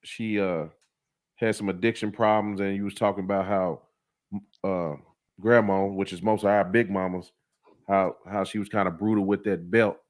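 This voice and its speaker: A man's voice: low-pitched, steady tone, moderate pace. Easygoing man in his forties asking questions. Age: 40s. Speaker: interviewer. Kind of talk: casual conversational interview.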